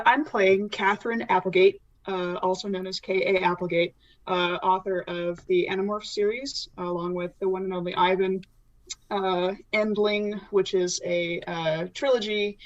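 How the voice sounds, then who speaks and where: A woman's voice: on the low side, fairly even intonation, normal speed. Woman on slightly muffled audio, chatting casually. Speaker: podcast cast member and player. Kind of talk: casual podcast chat.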